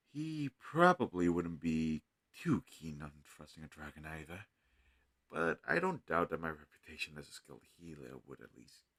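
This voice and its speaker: A man playing an earnest, gentle healer mage in a fantasy audio roleplay, talking hesitantly and thoughtfully to a travel companion. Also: extremely low pitch, gravelly, no echo, studio quality, full character voice. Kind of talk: scripted fantasy audio roleplay addressed to the listener.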